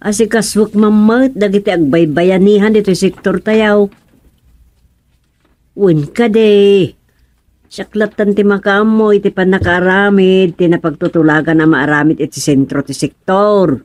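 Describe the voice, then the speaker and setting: Plain-spoken village neighbour played by a woman, Filipino accent, conversational, expressive, radio comedy-drama. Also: mid-range voice, a little slow, lightly acted. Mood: businesslike. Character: plain-spoken neighbourhood resident organising communal work.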